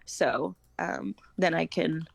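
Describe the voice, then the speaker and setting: A woman's voice: deep voice, takes it slowly, creaky. A woman, chatting casually as a guest on a homeschooling podcast. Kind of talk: casual chat.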